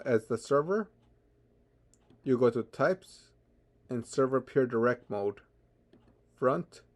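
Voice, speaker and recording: A man giving an unscripted software tutorial in a halting way, often stammering and repeating his words as he goes. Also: medium pitch. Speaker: tutorial presenter.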